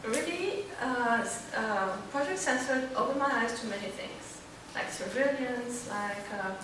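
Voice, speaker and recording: A woman's voice: a little high, lively intonation, unhurried. A woman with a Moroccan Arabic accent giving an informal personal talk as a student panelist, searching for words as she goes. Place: library event room, indoors. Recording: very echoey, distant, clearly noisy room recording.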